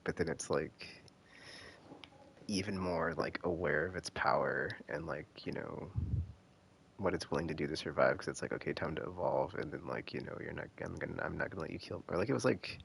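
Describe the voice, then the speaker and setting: A man with an extremely low, gravelly voice gives casual stream commentary on a game. He rambles and trails off as he thinks aloud, with faint noise behind him. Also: a little fast, fairly bright tone.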